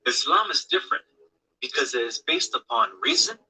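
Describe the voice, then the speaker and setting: Male documentary narrator, raspy and sharp-edged, solemn and declarative; scripted read. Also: high-pitched, huge pitch swings, normal speed, poor audio.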